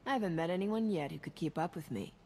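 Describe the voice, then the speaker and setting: An actress playing a confident, tough heroine in a video game, cool and self-assured with a dry delivery. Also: female voice, lowish voice, lively intonation, quick pace, a little mellow, faint music behind. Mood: teasing. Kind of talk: cinematic video game acting.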